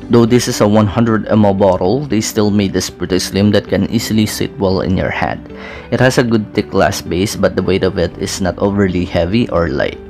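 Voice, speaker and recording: A young man with a lowish, gravelly voice narrates a product review in a steady, methodical way. He describes each detail carefully, like a prepared script. Background music runs clearly under his voice. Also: moderate pace. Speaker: fragrance reviewer. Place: small indoor room with brick-pattern wall.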